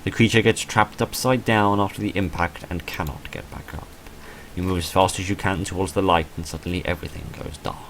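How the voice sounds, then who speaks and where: Man, low voice, gamer narration, dramatic reading, dry casual asides, slight background noise. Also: steady tone, brisk, a bit bright. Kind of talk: reading visual novel text aloud with casual commentary.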